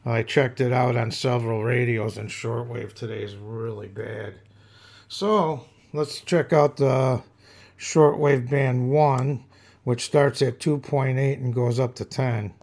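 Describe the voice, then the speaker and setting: A man's voice: medium pitch, steady tone, slow pace, darkish tone. A man giving a casual, plainspoken product demonstration as a hobbyist reviewer. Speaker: radio reviewer and hobbyist host.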